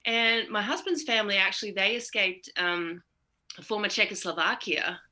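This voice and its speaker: A woman's voice: mid-range voice, moderate pace, sharp tone. An Australian-accented woman chatting casually as an interview guest.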